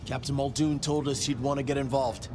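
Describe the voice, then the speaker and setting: A man's voice: mid-range voice, flat delivery, a little fast, music in the background, close to an everyday voice. An actor playing a matter-of-fact policeman in a video game, speaking in clipped, casual phrases.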